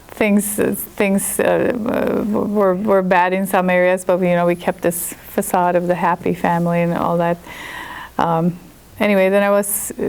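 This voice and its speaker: A Swedish-accented woman in her fifties speaks with a low, gravelly voice. She tells her own story to a listening audience, candid and chatty. She often restarts her sentences as she goes. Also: a little mellow, low-quality recording. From Sweden. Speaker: chiropractor and guest speaker. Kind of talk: personal story talk to an audience.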